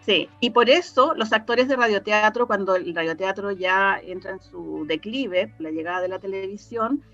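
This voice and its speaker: A woman's voice: medium pitch, moderate pace, a bit bright, highs cut off, soft background music. A woman with a Chilean accent explaining things casually as an interview guest, often searching for words. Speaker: interview guest, radio drama scriptwriter.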